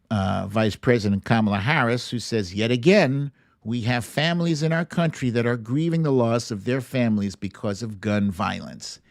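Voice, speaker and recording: A man's voice: mid-range voice, no echo. American guy hosting a political livestream, blunt n sweary, takes his time, dry close sound. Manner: blunt, opinionated, emphatic, swearing. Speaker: host of an online political commentary show. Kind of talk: casual political commentary to livestream viewers.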